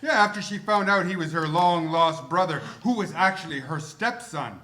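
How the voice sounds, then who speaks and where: A man playing a geeky, excitable fantasy-fan cop in a live cartoon table read, fussy, pedantic and mock-formal. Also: fairly high pitch, moderate pace, a crowd in the background, lightly acted. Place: theatre stage before an audience.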